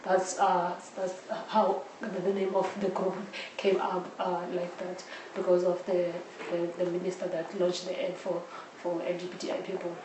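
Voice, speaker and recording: A woman with a South African accent answering interview questions in a halting way, often repeating words. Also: on the low side, completely flat delivery. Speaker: interviewee, audio drama creator. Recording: roomy echo, distant mic, faint noise.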